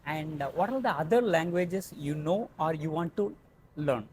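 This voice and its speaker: Low-voiced woman hosting an interview. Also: animated, normal speed, darkish tone, a little hiss or hum. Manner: many short acknowledgements, friendly questions. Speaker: podcast host. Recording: music in the background.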